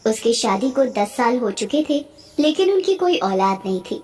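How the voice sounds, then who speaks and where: A woman's voice: on the high side, very animated, moderate pace, a little mellow. A woman narrating a scripted cartoon story as its storyteller.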